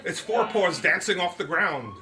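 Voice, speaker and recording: A man reading comic fiction aloud as its author, with theatrical, expressive storytelling. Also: sits high, moderate pace, over music. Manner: theatrical storytelling, literary phrasing. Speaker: author reading his novel.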